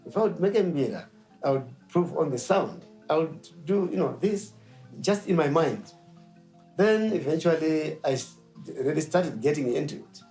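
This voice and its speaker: A middle-aged man from Zimbabwe, telling his life story as a mbira player and maker in a documentary interview, reflective, with pauses and fillers. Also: on the high side, lively intonation, darkish tone, faint music behind, low-quality recording.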